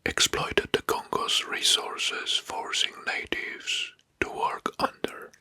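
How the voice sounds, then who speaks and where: A man whispering an ASMR history narration in a breathy, mostly unvoiced voice, pausing between short phrases and often repeating words. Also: sharp tone, no room sound. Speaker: ASMR host presenting history facts. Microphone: close microphone.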